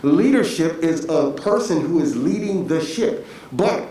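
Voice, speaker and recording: A man's voice: on the high side, animated, a little slow, warm tone. A man from Miami speaking on a town hall panel in a motivational, emphatic way with rhetorical repetition. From Georgia-born, raised in Miami, Florida. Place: echoey room at a town hall forum. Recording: very echoey room, distant mic, faint noise.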